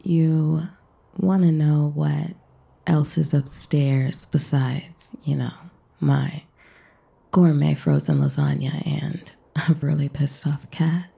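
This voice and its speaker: An actress playing a coy, flirtatious, smitten woman in an intimate romance audio drama, teasing in hesitant, breathy, husky half-whispers. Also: sits low, slow, mellow, full character voice. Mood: sultry, teasing.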